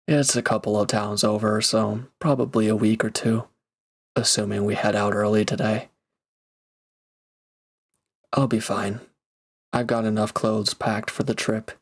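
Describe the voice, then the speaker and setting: A man playing a reformed villain turned mercenary in an intimate roleplay audio drama, speaking casually and hesitantly with awkward, earnest gratitude. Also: lowish voice. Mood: sincere, reassuring.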